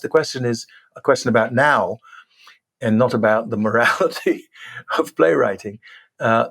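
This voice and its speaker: A man in an analytical discussion, feeling his way hesitantly toward precise phrasing. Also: medium pitch. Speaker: theater discussion panelist.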